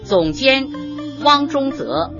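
Measured woman announcer over prominent music. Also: high voice, very animated. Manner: formal, measured, clearly enunciated. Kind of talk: scripted radio credits announcement. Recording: dull recording, loud music bed.

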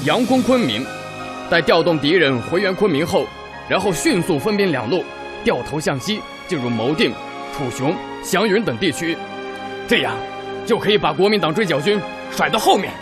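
An actor playing a decisive, deliberate army strategist in a radio drama. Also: male voice, fairly high pitch, expressive, over music, close to an everyday voice. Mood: firm, measured. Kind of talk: Chinese radio drama acting.

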